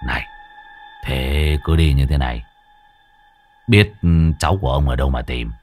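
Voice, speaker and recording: A man voicing a nervous male companion who speaks in a low, hushed murmur. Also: moderate pace, faint music behind.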